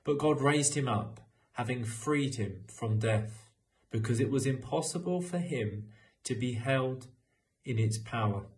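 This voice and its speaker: A young man reads scripture at an unhurried pace. His mid-range voice is deliberate and measured, captured on a home device in a small room. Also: medium pitch. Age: young adult. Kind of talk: scripture reading.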